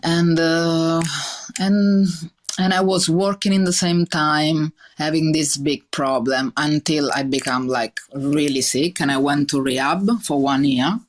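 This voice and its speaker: A woman's voice: low voice, fairly even intonation, unhurried. A woman in her thirties with a strong Italian accent, speaking earnestly in a podcast interview, with many fillers and restarts. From Italy. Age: 30s. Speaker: chef guest.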